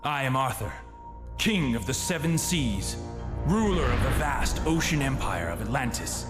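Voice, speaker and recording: A man's voice: medium pitch, very animated, a little slow. An actor playing a proud, wrathful sea king in exile, speaking in a grandiose, commanding way for a superhero game. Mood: solemn. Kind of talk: dramatic superhero game acting. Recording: loud music over the voice.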